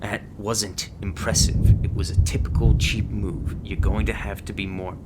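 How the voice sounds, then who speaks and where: A man acting a low-voiced, gruff monster hunter. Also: level tone, moderate pace, noisy background, close to an everyday voice. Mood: angry. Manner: foul-mouthed, sardonic, scornful. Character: gruff, sarcastic, guarded male monster hunter mentor. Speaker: voice actor in an audio roleplay. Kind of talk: ASMR roleplay acting.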